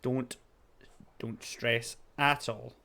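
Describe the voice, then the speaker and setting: A man's voice: mid-range voice, little pitch movement, extremely slow, creaky, bright, forceful tone. A Scottish man streaming a puzzle game, chatting casually, reading out chat messages and talking to his dog. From Scotland. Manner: chatty, reads chat aloud, coos at his puppy.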